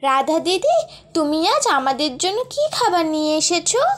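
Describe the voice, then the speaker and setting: A woman's voice: sits high, big pitch swings, normal speed. A woman voicing a little storybook bird that asks politely and pleadingly for food. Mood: eager.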